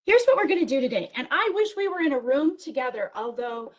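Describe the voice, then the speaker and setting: A woman with a big voice giving an enthusiastic virtual conference keynote, lively and peppered with ums and small jokes. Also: high-pitched, fast talker. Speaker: keynote speaker.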